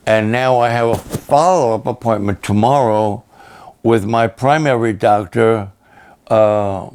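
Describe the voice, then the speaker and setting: Older man, pastor, raspy, hoarse, very slow delivery, halting and repetitive. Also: on the low side, a little mellow, a little hiss or hum. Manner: slow, halting, plainspoken, repeats himself. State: hoarse after illness and a long program. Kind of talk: slow personal update to supporters.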